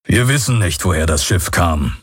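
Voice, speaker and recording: A man reading an audiobook voices a grave male alien who tells of past events in a low, slow, husky voice. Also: expressive, no echo, clean studio sound.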